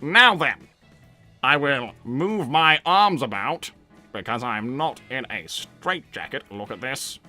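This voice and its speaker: A man's voice: mid-range voice, animated, slow, sharp tone, faint music behind, full character voice. A man voicing a manic, overbearing, proudly cheerful cartoon fox father in an improvised livestream roleplay, pompous, theatrical and insistent.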